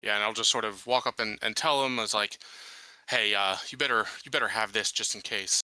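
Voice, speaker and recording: A man's voice: fairly low pitch, fairly even intonation, brisk, sharp tone, no echo, low-quality recording. A man playing a tabletop RPG, talking casually through his moves at the game table.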